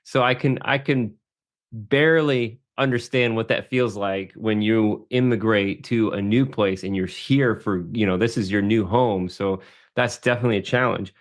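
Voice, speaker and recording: A man's voice: lowish voice, moderate pace. A man hosting a conversational podcast interview, reflective and often restarting his phrases as he thinks. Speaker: podcast host.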